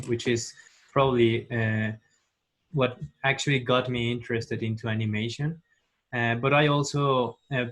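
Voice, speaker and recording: A man's voice: mid-range voice, a bit bright, low-quality recording. A man from Mexico, an animator who talks earnestly over a video call, leaning on fillers. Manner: earnest, hesitant with many fillers.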